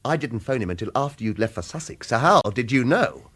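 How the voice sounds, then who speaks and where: An actor in a radio drama playing a wry, suspicious retired killer who needles with clipped, repeated questions. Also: male voice, mid-range voice, expressive, a little fast, a bit bright, breathy edge. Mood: accusing.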